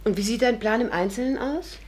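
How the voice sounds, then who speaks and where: A woman's voice: fairly high pitch, fairly quick, a little mellow, natural voice. An actress playing a witty, reluctant young woman with a dry, sarcastic manner in a 1950s radio drama. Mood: wry teasing.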